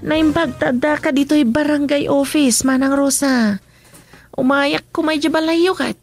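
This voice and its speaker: Very high-pitched, bashful young tomboy. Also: female voice, steady tone, a little slow, music in the background. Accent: Filipino, Ilocano. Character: young tomboy village woman, bashful but stubborn. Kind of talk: radio comedy drama acting.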